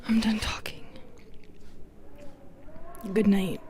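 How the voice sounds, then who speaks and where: Medusa, female monster woman performer: lonely, bitter, seductive. Intimate audio drama, breathy half-whispers, unhurried and dark-tempered, some noise behind. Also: medium pitch, steady tone. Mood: wounded, lonely. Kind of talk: intimate audio roleplay acting.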